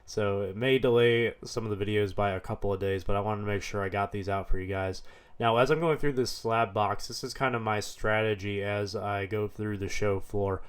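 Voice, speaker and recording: A man with a head cold, slightly hoarse, narrating a vlog in a relaxed, matter-of-fact way. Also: fairly low pitch, moderate pace. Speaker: card dealer vlogger.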